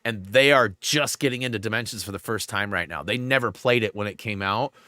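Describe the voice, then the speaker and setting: A man's voice: fairly low pitch, a little fast, pushed and bright, no room sound, studio quality. A man hosting a gaming livestream in a rambling, casual way, full of fillers, and a bit stuffed up. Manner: rambling, filler-heavy, lots of "like". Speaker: livestream host.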